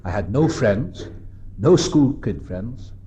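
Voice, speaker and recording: A Welsh man in his fifties gives an earnest, reflective recovery talk at a meeting, with pauses and restarts. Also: lowish voice, expressive, dark tone, a little hiss or hum. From South Wales. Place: meeting room.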